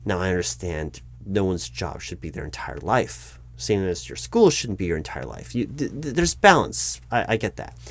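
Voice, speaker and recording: A man's voice: deep voice, big pitch swings, normal speed, hardly any pauses, heavily acted. A man playing a polite, awkwardly tactful corporate hiring manager in a one-sided interview roleplay, speaking aloud in a hesitant, stammering, diplomatic way. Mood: concerned, tactful. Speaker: recruiting manager conducting a job interview.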